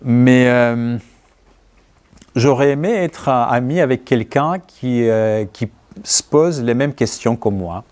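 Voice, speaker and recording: Man, Greek accent, native Greek speaker, mid-pitched voice, halting, reflective, conversational author interview. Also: a little mellow. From Greece. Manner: halting, searching for words, thoughtful. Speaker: writer being interviewed. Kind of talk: conversational answers at a book talk.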